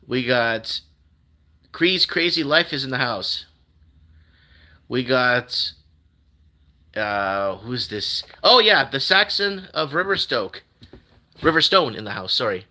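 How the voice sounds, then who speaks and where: A man's voice: medium pitch, pushed and bright. A man whose first language is Portuguese, hosting a casual live-stream news commentary, rambling and repeating himself. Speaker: host.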